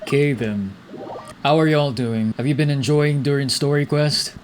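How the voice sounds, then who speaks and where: A man's voice: mid-range voice, normal speed, noisy background. A young man giving casual gameplay commentary, musing aloud. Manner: musing aloud, reading out dialogue. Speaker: game streamer.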